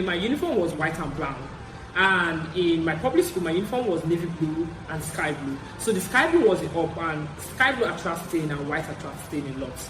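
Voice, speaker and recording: A young adult woman with a Nigerian accent chats to her viewers in a casual storytelling style. She often repeats herself to drive a point home. The sound is roomy and echoey, with clear noise behind her voice. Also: on the low side. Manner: animated, chatty, repeats phrases for emphasis. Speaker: vlogger.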